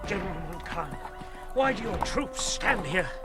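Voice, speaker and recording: An actor playing an anguished, indignant army colonel in a radio drama, urgent, formal and imploring. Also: male voice, high-pitched, big pitch swings, moderate pace, over loud music. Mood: indignant pleading.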